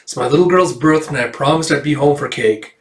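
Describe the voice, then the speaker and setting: A man's voice: fairly high pitch, quick pace, echoey room, close to an everyday voice. A man voicing a devoted superhero father, earnest and reassuring, in a comic-book story. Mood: upbeat.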